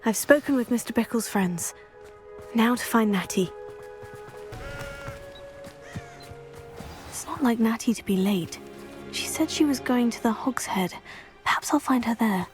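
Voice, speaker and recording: Woman playing a high-voiced earnest young witch heroine. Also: normal speed, soft background music, lightly acted. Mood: focused, concerned. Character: teenage witch heroine, earnest, caring, determined. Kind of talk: scripted video game voice acting.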